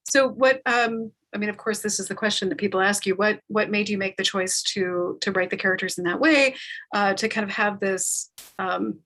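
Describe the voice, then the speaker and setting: A woman moderating a live panel discussion, speaking in a halting, thoughtful way that circles around her phrasing. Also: medium pitch, fairly bright tone, poor audio. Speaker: talkback moderator.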